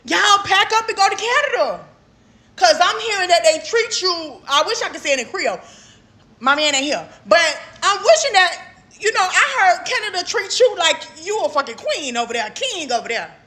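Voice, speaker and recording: American woman, really high bright voice, cussing and venting, blunt. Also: sing-song, normal speed. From United States. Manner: blunt, profane, exasperated. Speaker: social media commentator. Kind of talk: casual ranting monologue.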